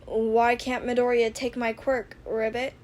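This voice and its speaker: A woman's voice: on the high side, flat delivery, a little slow, darkish tone, natural voice. A woman voicing a blunt, level-headed teenage frog-like girl hero in a fan audio drama, matter-of-fact in manner, with background noise on the recording.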